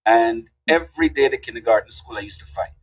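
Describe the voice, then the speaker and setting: A man's voice: mid-range voice, fast, slightly rough, rough recording. An older man, telling folksy stories as a podcast guest. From Guyana. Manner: animated, folksy storyteller. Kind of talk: casual interview storytelling.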